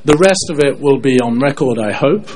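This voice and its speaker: A man's voice: mid-range voice, expressive, moderate pace, darkish tone, a little hiss or hum. A man making an unscripted meeting comment, hesitant and often correcting himself. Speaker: meeting participant.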